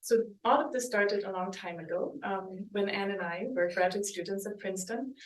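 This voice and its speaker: A woman from Germany giving an academic book talk as a historian, speaking thoughtfully with pauses and restarts. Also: medium pitch. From West Germany. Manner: thoughtful, hesitant, with restarts and ums. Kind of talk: academic lecture with slides. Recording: roomy, mic at a distance.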